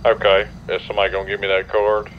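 A man's voice: fairly low pitch, completely flat delivery, fast, muffled recording. A man chatting casually in an online roleplay game with dry wisecracks. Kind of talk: casual chat. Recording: clearly noisy game audio.

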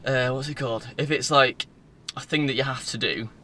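A young Northern English man talks casually to his phone in a car. His voice is bright and sits at a middling pitch. He rambles informally from one thought to the next, with faint background noise in the recording. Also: moderate pace. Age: 20s. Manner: informal, loose, digressive. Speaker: vlogger. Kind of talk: casual rambling vlog chat. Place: inside a parked car. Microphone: phone at arm's length.

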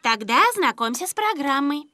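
An actress playing a cheerful, organised young woman who runs a rescue base in a children's cartoon, brisk and encouraging. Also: high voice, sing-song, bright, forceful tone, slight background noise, heavily acted. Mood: helpful.

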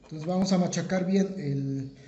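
A man explains a drink recipe step by step to viewers, unhurried, with many fillers and restarts. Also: high-pitched, dark tone, a little hiss or hum. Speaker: home bartender, channel host. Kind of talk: step-by-step cocktail tutorial. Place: home kitchen counter, indoors. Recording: roomy, dull lo-fi camera audio.

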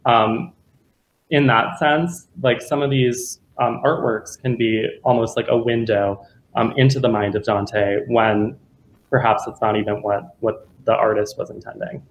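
A man's voice: mid-range voice, fairly even intonation, measured pace, roomy sound, slight background noise, poor audio. A young man, a guest curator, chatting casually about his exhibition on a video call from home. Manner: casual, chatty with many fillers. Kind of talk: answering questions after a lecture. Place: home room.

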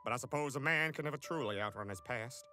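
A man voicing a gruff, brash big man with slangy, melodramatic delivery in a comedic cartoon parody. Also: medium pitch, fast talker, music in the background, lightly acted. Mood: brooding, melodramatic. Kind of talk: comedic cartoon dub acting.

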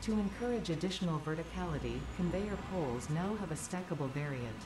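A woman voicing a calm computer announcer. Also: sits low, brisk, background noise. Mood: formal. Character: calm female ship computer voice. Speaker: in-game announcer. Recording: echoey, distant, over game music.

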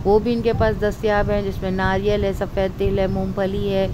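A woman's voice: mid-range voice, normal speed, background noise. A woman with a Pakistani Urdu accent, narrating a market tour and listing goods and prices one by one. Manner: steady, listing items one by one. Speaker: vlogger. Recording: music bed underneath.

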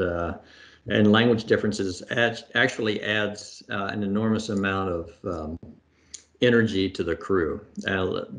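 Lowish-voiced man answering on a video call. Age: middle-aged. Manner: thoughtful, many fillers and restarts. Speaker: astronaut guest. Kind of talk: conversational Q&A answers. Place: home room with bookshelves. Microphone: video call webcam.

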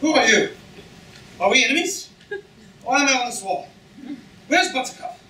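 A man's voice: very high voice, big pitch swings, moderate pace, bright, forceful tone, heavily acted. Young guy playing a limp revived hero plotting dryly onstage, echoey far mic, noisy. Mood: bewildered. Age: young adult. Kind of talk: comic stage reading. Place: lecture hall stage, far camera. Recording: distant echoey hall, noisy audience recording.

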